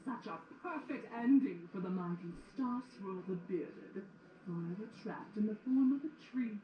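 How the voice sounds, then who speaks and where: A woman playing an imperious, menacing pony ruler in a fan audio drama, speaking grandly and deliberately. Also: mid-range voice, highs cut off, lightly acted. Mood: cold, commanding. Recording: echoey, faint noise.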